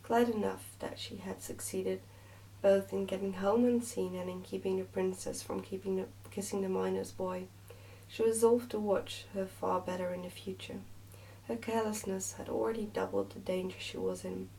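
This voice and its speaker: Woman doing soft asmr book reading, mellow voice w some fry, mic a bit far off. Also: medium pitch, steady tone, moderate pace, slight background noise. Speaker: ASMR reader. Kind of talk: soft ASMR storybook narration.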